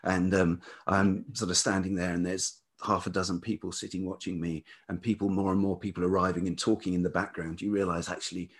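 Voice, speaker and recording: A middle-aged man with a velvety voice, talking reflectively and haltingly as he tells stories as a podcast guest. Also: deep voice, little pitch movement. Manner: hesitant, halting, circling back. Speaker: podcast guest, writer and musician. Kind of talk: reflective conversational storytelling.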